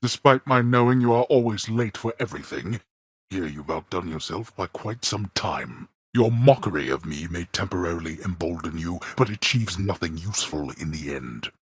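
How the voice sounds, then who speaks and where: A man voicing a stern, scornful god of the dead and imperious father in a video game, with a booming, gravelly voice and formal, archaic phrasing. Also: bright, forceful tone, no echo, studio quality, exaggerated voice. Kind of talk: video game character voice acting.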